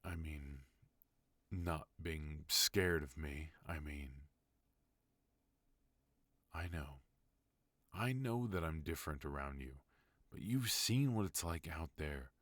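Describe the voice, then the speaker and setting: A tender but dangerous mafia boss husband, voiced by a man in an ASMR roleplay, speaking at an extremely low pitch. He takes his time over every word, soft and intimate, close to a dry, dead-sounding microphone. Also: no echo, full character voice. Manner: soft, intimate, unhurried delivery. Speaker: voice actor.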